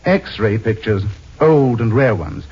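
An actor playing a suave, unflappable amateur sleuth with dry wit in an old-time radio detective drama, wry and hesitating deliberately. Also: male voice, medium pitch, animated, darkish tone, slightly rough. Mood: cool, probing. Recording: dull, muffled old radio recording.

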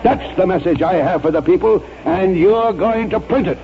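A proud old army colonel with a high voice and grand, formal oratory. He is stubborn and pompous, in classic radio drama style. The old recording is muffled, with audible noise. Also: moderate pace, gravelly. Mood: indignant, defiant. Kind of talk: 1940s radio drama acting.

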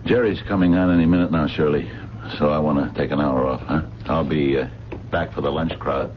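A man's voice: very deep voice, steady tone, a little fast. An actor playing a skeptical, plain-spoken working man at a diner in a radio drama, blunt and probing. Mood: casual, probing. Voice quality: colloquial, plain diction. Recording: dull, noisy 1970s radio recording.

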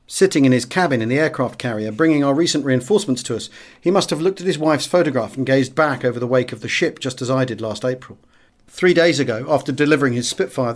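A man with a British accent reads a first-person memoir as an audiobook narrator, in a measured, expressive storytelling manner. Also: mid-range voice. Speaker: audiobook reader.

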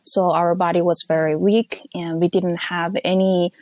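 Low-voiced woman with a North Korean accent. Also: level tone, darkish tone, no echo. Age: 30s. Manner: measured, careful, with frequent pauses and fillers. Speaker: guest. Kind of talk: personal testimony interview. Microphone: phone call.

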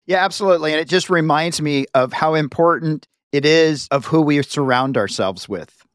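A man's voice: on the high side, moderate pace, clean tone, no room sound, studio quality. A middle-aged man hosting a podcast, chatting conversationally, laughing easily and acting out quoted voices as he asks questions. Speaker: podcast host. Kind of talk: podcast interview.

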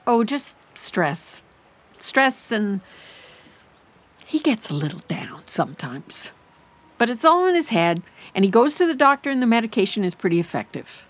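A woman's voice: on the high side, very animated, moderate pace. An actress playing an anxious, prying middle-aged mother in an audio drama, fussing and rambling as she talks. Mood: evasive. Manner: fussing, rambling, hesitant when defensive. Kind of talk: naturalistic audio drama dialogue.

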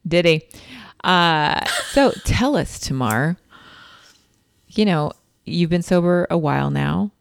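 A woman's voice: fairly low pitch, lively intonation, takes it slowly, gravelly, clean tone. A woman hosting a podcast in upbeat, playful casual chat, interviewing a guest. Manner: upbeat, playful, plugs merch. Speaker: podcast host.

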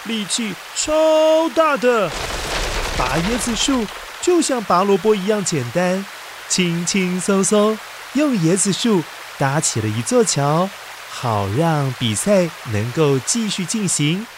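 A man narrates a children's story in an expressive, dramatic way, with exclamations and sound words. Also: high voice, slow, background noise. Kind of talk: animated scripted children's storytelling. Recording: music behind the voice. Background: music.

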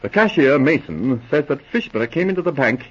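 An actor playing an eager, excitable police officer giving urgent reports in a 1940s radio drama. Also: male voice, on the high side, brisk, slightly rough. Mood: earnest reporting. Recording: muffled old radio recording.

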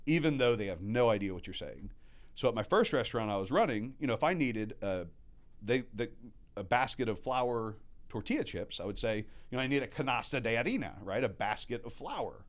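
A man's voice: medium pitch, brisk. A man in his thirties, born in Mexico to American parents, chatting casually and acting out quotes as he tells stories about running restaurants. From born in Mexico, raised by American parents. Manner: animated storyteller acting out quotes. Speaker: guest, restaurant manager. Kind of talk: casual storytelling chat.

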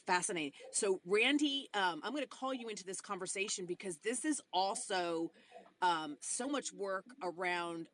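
A woman's voice: fairly low pitch, fairly bright tone, a little hiss or hum. A woman in a casual conversation, talking enthusiastically and rambling, with many restarts.

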